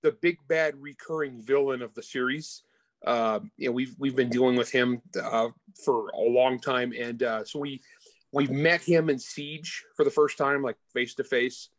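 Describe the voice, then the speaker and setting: Male author as interview guest, mid-pitched, informal and enthusiastic, very little room sound. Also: fairly even intonation, normal speed, no room sound. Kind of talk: casual interview chat about their own books.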